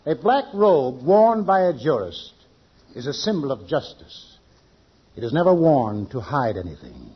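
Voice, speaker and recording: An actor playing a dignified, stern judge in an old radio comedy, speaking with formal, measured diction. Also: male voice, medium pitch, very animated, husky. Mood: stern, solemn. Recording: dull vintage radio recording.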